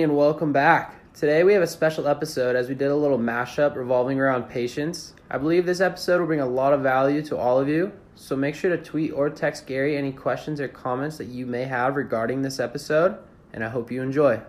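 Fast-talking man reading a show intro. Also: mid-range voice, fairly even intonation, slight background noise. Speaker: podcast intro announcer. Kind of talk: scripted episode introduction.

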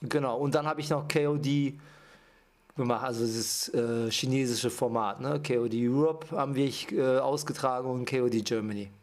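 A man's voice: mid-range voice, moderate pace, a little mellow. A man from Japan, a dancer and choreographer, talking as a podcast guest, hesitant with many fillers. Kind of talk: casual interview talk.

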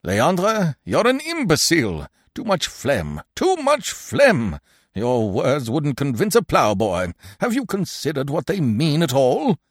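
Man acting a bombastic, angry big man, pitched high. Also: wildly swooping pitch, takes it slowly, no room sound, clean studio sound, full character voice. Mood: furious. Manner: bombastic, contemptuous, declamatory. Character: huge, angry, pompous bourgeois theatrical father.

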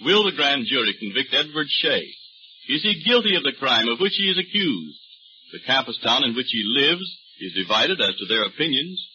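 A man's voice: medium pitch, sing-song, moderate pace, pushed and bright, husky. A man with an American accent announcing in an emphatic, declamatory old-time radio advertising style. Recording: muffled old radio broadcast recording.

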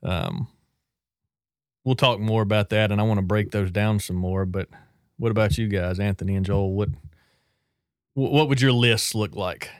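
A man hosting a podcast, leading a reflective discussion in a plain-spoken, measured way. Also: fairly low pitch, fairly even intonation, clear, resonant tone, no echo, studio quality. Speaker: podcast host.

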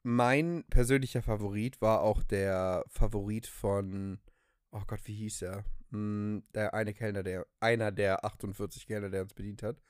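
A man's voice: on the low side, fairly even intonation, moderate pace, clear, resonant tone, no room sound. Young man, casual podcast chat, dry close sound. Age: young adult. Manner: enthusiastic, slangy with English phrases. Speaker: podcast co-host. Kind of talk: casual conversational storytelling.

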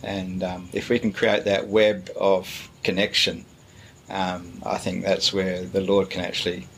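A middle-aged man, a pastor, answering interview questions in a relaxed, hesitant way, with many fillers and pauses. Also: deep voice, gravelly, slight background noise.

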